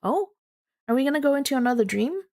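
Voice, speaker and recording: A woman's voice: high-pitched, animated, fast talker, darkish tone. A woman streamer who moves between casual, informal chat and reading a visual novel story aloud. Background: background music.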